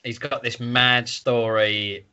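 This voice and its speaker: A man talks casually as a podcast host discussing a book. His voice is bright and punchy, and his manner is irreverent and sweary. The audio is a little dull and lo-fi. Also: mid-range voice, level tone, slow, clear, resonant tone.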